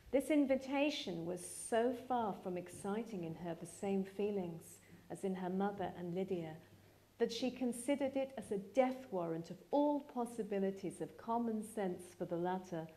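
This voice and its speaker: Mellow woman narrating a classic novel. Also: mid-range voice. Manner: measured, expressive. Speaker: actress reading a novel aloud. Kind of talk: literary narration, live dramatic reading. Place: live reading event.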